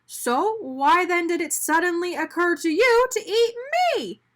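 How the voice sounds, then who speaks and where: A woman voicing an excitable, dramatic little male doughnut in a children's storybook, full of exclamation. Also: extremely high pitch, measured pace, voice pushed up. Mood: indignant.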